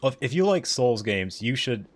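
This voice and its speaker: A young man with a mixed Southern and Midwestern American accent, chatting excitably and rambling in a self-mocking way while streaming a game. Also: medium pitch, moderate pace. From United States. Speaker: livestreamer. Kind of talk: casual live gameplay commentary.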